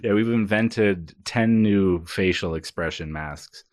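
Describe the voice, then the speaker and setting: A man's voice: low-pitched, slow pace, no echo. A man co-hosting a podcast with casual comedic banter in a playful, mock-earnest way. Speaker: podcast co-host.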